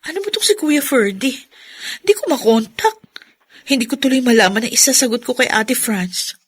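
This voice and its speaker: An actress playing a resentful, pleading married schoolteacher in a Filipino radio drama. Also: female voice, on the high side, expressive, moderate pace, husky, dry recording. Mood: puzzled, insistent.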